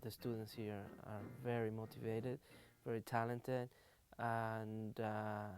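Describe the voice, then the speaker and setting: A man teaching a music workshop, counting in the students and singing drum patterns aloud in an animated way. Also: fairly low pitch, takes it slowly, clear, resonant tone, no echo.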